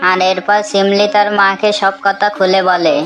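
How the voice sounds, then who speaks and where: A woman's voice: mid-range voice, flat delivery, normal speed, over music. A woman narrating a scripted children's story.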